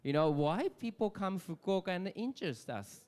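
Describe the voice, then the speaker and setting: A man's voice: high voice, expressive, moderate pace, a little mellow. A man moderating a live panel on a hotel event stage, loose and upbeat, full of "like" and "you know". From Japan. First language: Japanese.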